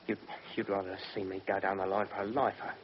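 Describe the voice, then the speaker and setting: An actor playing a cocky, menacing gangster, taunting and slangy in a radio drama. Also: male voice, sits low, little pitch movement, fast talker, natural voice. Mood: mocking. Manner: taunting, slangy, mock-friendly, swaggering. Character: cocky, menacing British gangster, freshly acquitted. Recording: muffled, noisy old radio recording.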